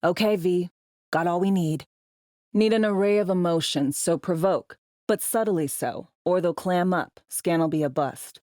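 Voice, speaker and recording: A tough, sardonic spy handler from a cyberpunk video game, acted by a woman. Her voice sits fairly low in a very dry studio sound. She talks in clipped slang, bossy and teasing, like someone used to running operations. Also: a little slow, warm tone, no echo, lightly acted. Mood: focused, tense. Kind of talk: video game voice acting, briefings and radio guidance.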